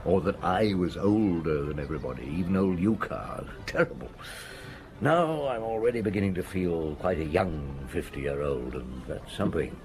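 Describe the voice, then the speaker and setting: A man's voice: low-pitched, lively intonation, moderate pace, a little mellow, faint music behind. An actor playing a wry French police detective in his fifties in an audio drama, with a dry, musing manner. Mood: relaxed, playful. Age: 50s. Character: wry fifty-year-old French police detective on holiday. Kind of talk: audio drama dialogue and storytelling.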